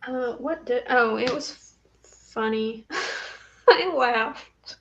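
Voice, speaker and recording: A woman with a fairly high voice chats casually about books as one of a panel. The audio is a little dull and muffled. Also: extremely slow, fairly bright tone, slight background noise. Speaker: book club panelist.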